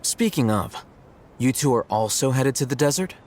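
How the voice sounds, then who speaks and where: An actor playing an aloof, analytical young male scholar in a video game, speaking in a measured, formal, dryly probing way. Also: mid-range voice, expressive, a little mellow, a little hiss or hum, natural voice. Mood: detached. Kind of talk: video game cutscene acting.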